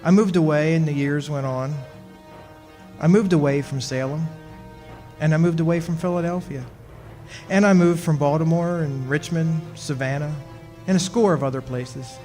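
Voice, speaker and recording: An actor playing a wry, centuries-old henpecked husband of a witch in a radio play, telling his story in measured dramatic style. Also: on the high side, a little mellow, lightly acted. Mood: wry, reminiscing. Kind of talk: dramatic first-person radio play narration. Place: stage performance in a community club hall. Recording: echoey hall, music behind.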